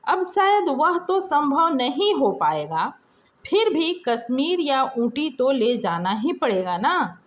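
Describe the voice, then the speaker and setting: A self-centred young newlywed son from an audio story, voiced by a woman narrator. He wheedles and complains in slow, swooping phrases. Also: sits high, big pitch swings, muffled recording, full character voice. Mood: wheedling.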